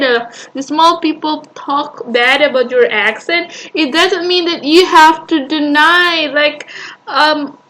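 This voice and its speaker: A woman's voice: high voice, sharp tone, rough recording. A woman reacting to a video she is playing, arguing back at it in an exasperated, rambling way with sighs and restarts.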